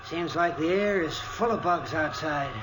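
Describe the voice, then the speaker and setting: A man's voice: on the high side, unhurried, natural voice. A man playing a brash breezy drifter. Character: brash male drifter seeking handyman work. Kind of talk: 1940s radio acting. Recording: old radio recording with noise.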